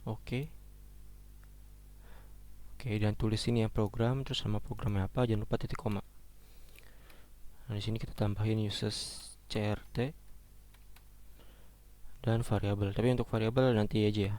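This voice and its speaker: Young male lecturer, a bit hoarse, mellow low voice, chatty and halting delivery. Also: steady tone. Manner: casual, halting, many fillers and restarts. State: slightly hoarse. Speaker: programming lecturer. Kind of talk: screen-recorded coding lesson.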